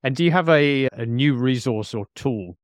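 A man's voice: mid-range voice, moderate pace, no echo, studio quality. A man hosting a podcast interview in a conversational, agreeable and affirming way. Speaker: podcast host.

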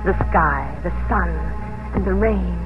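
A woman's voice: lowish voice, a little slow, natural voice. A woman playing an earnest, brave colonial mistress in a radio drama, telling her story with formal, dramatic diction. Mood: tender. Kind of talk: 1950s radio drama acting and narration. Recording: muffled 1950s radio recording, music behind.

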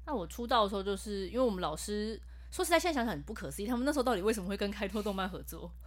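A woman voice actor, explaining her work in a casual podcast chat. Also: medium pitch. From Taiwan. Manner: fast, explanatory and animated.

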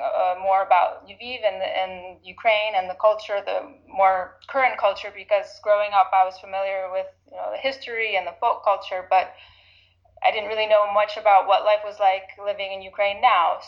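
A woman in her thirties from Chicago, talking reflectively in a casual interview, often pausing with fillers and restarting. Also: medium pitch. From Chicago, United States. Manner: reflective, thinks aloud with frequent fillers and restarts. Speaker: interview guest. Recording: slightly dull lo-fi audio.

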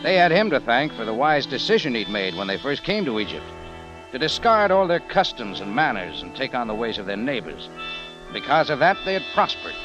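An actor playing a wry, easygoing American cafe owner and adventurer in a 1950s radio drama, with casual noir-style delivery. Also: male voice, mid-range voice, sing-song, a little fast, muffled recording, close to an everyday voice. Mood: intrigued, narrating. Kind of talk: 1950s radio drama acting, first-person noir narration. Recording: vintage radio with music behind.

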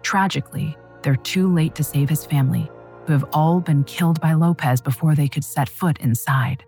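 A woman narrating a true crime story in a measured, dramatic scripted style. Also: deep voice, warm tone, faint music behind.

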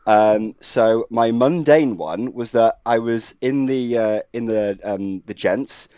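A man's voice: mid-range voice, slow, warm tone, clear, resonant tone, no echo, muffled recording. A man from Wales co-hosting a podcast, telling stories in a rambling, self-deprecating way with lots of ums. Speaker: podcast co-host. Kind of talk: casual podcast anecdote.

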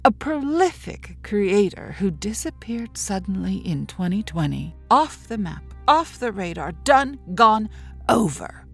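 Slow, theatrical interviewee. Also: female voice, medium pitch, big pitch swings, slightly rough, faint music behind, heavily acted. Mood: dramatic. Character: reflective, theatrical woman interviewed about a vanished artist. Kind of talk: audio drama mock-documentary interview.

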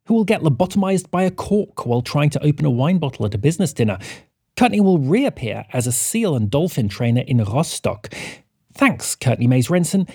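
A man's voice: fairly high pitch, warm tone, breathy edge, no room sound, studio quality. A man reading a scripted announcement to listeners as a podcast announcer.

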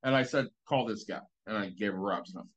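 A man's voice: fairly low pitch, fast, fairly bright tone, dull audio. A man in casual conversation who pushes back skeptically and raises cautionary points, with some swearing. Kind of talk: casual podcast chat.

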